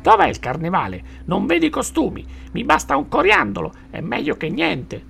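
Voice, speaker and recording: Shrill, creaky squawking parrot. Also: male voice, wildly swooping pitch, normal speed, fairly bright tone, faint music behind, heavily acted. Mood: excited complaining. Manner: shrieking exclamations. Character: excitable, impatient, dim-witted squawking parrot. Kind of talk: rhymed children's story character.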